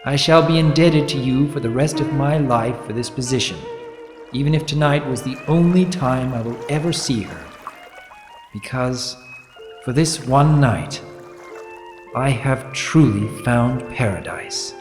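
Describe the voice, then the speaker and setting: A man voicing an earnest, lovestruck pony baron reading out a formal letter in a measured, heartfelt way, with reverb on the voice. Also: mid-range voice, a little mellow, pitched above the performer's own voice. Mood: tender, devoted. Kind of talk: dramatic reading of a storybook letter. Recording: echoey reverberant effect with music behind.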